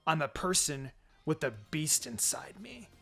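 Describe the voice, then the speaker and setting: Storybook role: vengeful young ninja girl, cold, slow and breathy, acted by a man, over music. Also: a little high, big pitch swings, sharp tone, exaggerated voice. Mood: cold menace.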